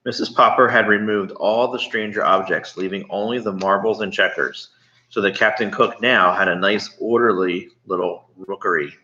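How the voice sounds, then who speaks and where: A man reading a storybook aloud, pausing to explain things and ask questions as he goes. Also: on the low side, fairly even intonation, pushed and bright. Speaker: read-aloud storyteller to children. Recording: slightly muffled, echoey room.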